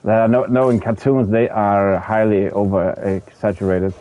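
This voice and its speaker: A man's voice: on the low side, fairly even intonation, normal speed, dull audio. A man with a Danish accent, a native Danish speaker, chatting casually with viewers on a game livestream, stumbling and repeating words as he hunts for the right English term and swearing in frustration. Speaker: streamer.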